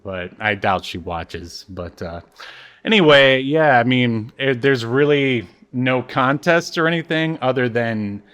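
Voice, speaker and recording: A man's voice: mid-range voice, expressive, unhurried, a bit bright. Casual, joking male podcast host.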